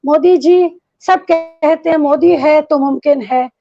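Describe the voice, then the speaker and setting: Woman, earnest pleading, gravelly voice, dry muffled call audio. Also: high voice, very fast, no room sound, soft background music. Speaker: protest speaker. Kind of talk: emotional plea.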